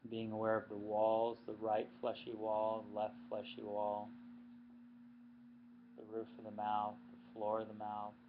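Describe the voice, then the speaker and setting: A man leading a guided yoga nidra meditation as instructor, speaking unhurriedly in short phrases with long pauses. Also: on the low side, completely flat delivery. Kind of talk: guided meditation, slow body-scan instructions. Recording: muffled lo-fi recording with background noise.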